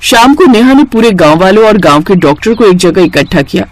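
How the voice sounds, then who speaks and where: A woman's voice: mid-range voice, lively intonation, brisk, no echo. A woman storyteller reading a scripted moral tale.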